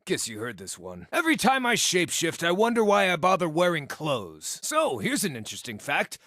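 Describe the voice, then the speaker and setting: A man voicing an irreverent, excitable, furry shapeshifting druid in a video game, with a wry, cheeky, theatrical delivery. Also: sits high, no room sound, clean studio sound, exaggerated voice. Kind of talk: video game character dialogue and battle barks.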